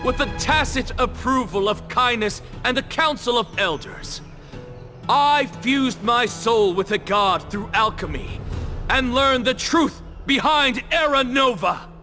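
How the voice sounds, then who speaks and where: Over clear background music, a grandiose male scholar with a high voice. He declaims like a game villain on trial, theatrical and taunting, defiant to the last. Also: animated, unhurried, bright, forceful tone, heavily acted. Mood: smug, grandiose. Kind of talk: video game cutscene voice acting.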